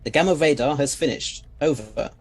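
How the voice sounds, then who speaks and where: A man's voice: a little high, moderate pace, close to an everyday voice. Courteous eccentric scientist played by a man, noisy backdrop. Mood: brisk, urgent. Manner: courteous, old-fashioned, erudite phrasing. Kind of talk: audio drama acting.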